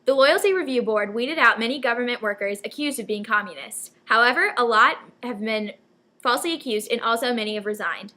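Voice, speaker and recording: A woman's voice: fairly high pitch, fairly quick, pushed and bright. A young woman anchoring a scripted newscast, reading out stories as narration. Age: young adult. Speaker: news anchor.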